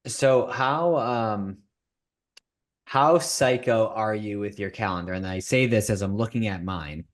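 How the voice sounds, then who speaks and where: A man's voice: fairly low pitch, unhurried. A man in his thirties hosting a business podcast, chatting casually with candid, self-deprecating humor. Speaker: podcast host.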